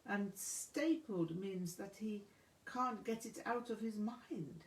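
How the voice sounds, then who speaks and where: A woman reading a memoir and voicing an earnest woman who puzzles things out in halting, breathy phrases. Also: medium pitch. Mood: pondering. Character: Annalie, earnest woman puzzling out song lyrics. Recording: roomy, echoing room sound.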